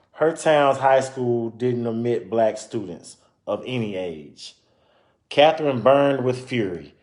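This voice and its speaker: A man reading a children's book aloud and pausing to explain and comment informally to his listeners. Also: mid-range voice, darkish tone. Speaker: reader presenting a children's book. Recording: roomy, echoing sound.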